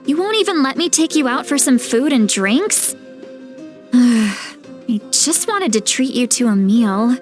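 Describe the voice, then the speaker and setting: High-voiced, tipsy ancient granny. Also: female voice, lively intonation, slow pace. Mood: breezy, generous. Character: youthful-sounding ancient granny, tipsy, self-deprecating, generous woman. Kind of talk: video game character acting. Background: game music.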